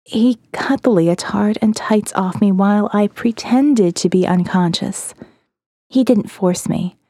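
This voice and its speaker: Woman playing a frank, wistful young woman, gravelly edge. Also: mid-range voice, a little slow, mellow, dry recording, studio quality. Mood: indifferent, candid. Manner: shrugging, candid, trailing off.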